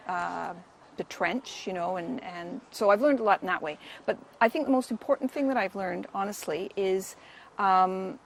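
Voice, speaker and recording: A woman's voice: fairly low pitch, gravelly. Canadian-accented woman, 60s, volunteer, sincere and reflective; faint noise behind. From Wales. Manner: earnest, thoughtful, many ums. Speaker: dig volunteer. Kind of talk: on-camera interview.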